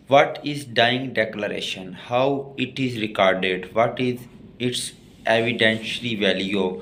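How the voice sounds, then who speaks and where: A man's voice: mid-range voice, a bit bright, slight background noise. A man with a Pakistani accent lecturing as a lawyer, reading passages out and then explaining them step by step. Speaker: lawyer giving law lectures. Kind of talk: lecture, explaining exam topics in Urdu and English.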